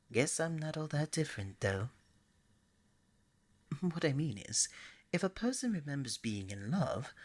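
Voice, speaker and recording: Casting: woman as a lovestruck deity of romance for ASMR roleplay, very low and breathy, flirty, coaxing, scatterbrained. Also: exaggerated voice. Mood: affectionate, explaining. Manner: playful, coaxing, bubbly and hesitant by turns.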